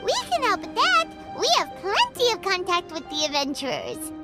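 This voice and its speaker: Tiny fairy sidekick, very high squeaky voice, wildly bouncy, loud game music. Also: female voice, huge pitch swings, moderate pace, full character voice. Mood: eager. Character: tiny floating fairy companion, excitable girlish.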